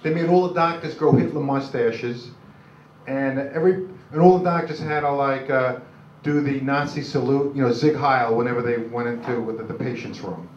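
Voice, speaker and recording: An older man with a New York accent does halting, self-deprecating, rambling stand-up comedy. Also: mid-range voice, distant mic. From Brooklyn, New York, USA. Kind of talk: live stand-up comedy, crude jokes. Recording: dull, noisy, echoey club recording from afar.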